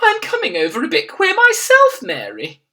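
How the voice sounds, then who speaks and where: A woman's voice: very high-pitched, wildly swooping pitch, moderate pace, sharp tone, far from the mic, pitched above the performer's own voice, exaggerated voice. A woman voicing an elderly, upper-class queen who is refined and easily alarmed, speaking in a posh, exclaiming manner. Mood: bewildered.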